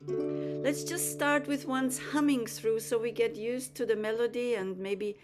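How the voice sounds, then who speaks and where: A woman, sharing a childhood story on a video call in a soft, hesitant way with frequent pauses. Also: fairly high pitch, steady tone, music in the background. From Austria. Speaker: guest musician and storyteller. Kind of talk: relaxed storytelling with gentle pauses.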